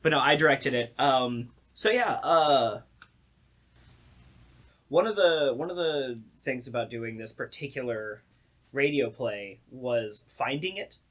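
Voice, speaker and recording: A man's voice: mid-range voice, animated, slow, fairly bright tone. A man chatting casually and informally as the director of a radio play, with a sardonic, joking streak. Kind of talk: casual group podcast chat.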